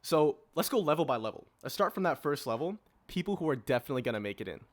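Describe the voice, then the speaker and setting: A man's voice: a little high, sing-song, quick pace. A man in his early twenties hosting a casual podcast discussion, informally steering and organizing the conversation. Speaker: podcast host.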